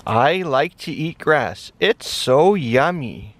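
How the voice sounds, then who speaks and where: Man voicing a slow, gentle big moose. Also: a little high, animated, exaggerated voice. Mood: gentle, content. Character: big friendly slow moose who loves eating grass. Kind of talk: children's animal story role-play.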